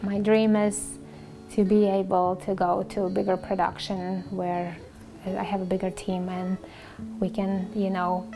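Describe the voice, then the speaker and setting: Woman bakery owner, gravelly and flat, music up front. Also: medium pitch, measured pace, rough recording.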